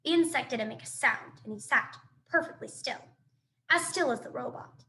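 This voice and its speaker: A woman reading a children's book aloud in an expressive, animated storytelling manner. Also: sits high, moderate pace, slight background noise. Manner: animated, dramatic sound effects and asides.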